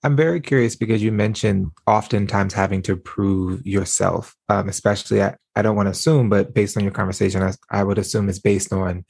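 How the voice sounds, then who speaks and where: A man co-hosting an interview, asking careful, measured questions. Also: fairly low pitch, fairly even intonation, creaky, a little mellow, slightly rough, dry recording. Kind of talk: casual interview chat.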